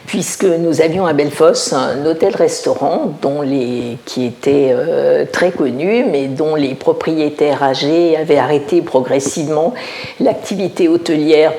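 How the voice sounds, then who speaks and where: An older woman from Alsace, a village mayor, answering interview questions in a measured, explanatory way, often pausing to find her words. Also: sits low, dark tone. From Alsace, France. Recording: roomy echo.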